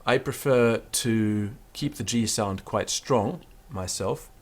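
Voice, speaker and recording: A man with a fairly low voice teaching pronunciation in an offhand, unscripted way, full of small fillers and restarts. He stops every so often to sound out words slowly and deliberately, as a demonstration for his students. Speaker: Latin teacher. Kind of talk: spoken pronunciation lesson.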